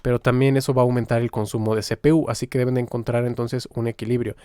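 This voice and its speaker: Mellow-voiced man giving a software tutorial. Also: medium pitch, flat delivery, fast talker, smooth, dry recording. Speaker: music software tutorial instructor. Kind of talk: informal screen-recorded software tutorial.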